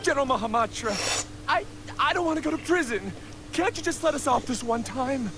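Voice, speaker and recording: Cowardly henchman, very high whiny voice, lively swings, stammering, video game, noisy background. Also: a little fast. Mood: panicked, pleading. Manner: stammering, pleading, shifty. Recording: game capture with clear background noise.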